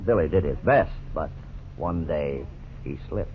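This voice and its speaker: A man with a deep, low voice narrates in a grave, measured way, in scripted 1950s radio style. The sound is thin and phone-like, with faint background hiss. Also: lively intonation. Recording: tinny old radio broadcast, faint hiss.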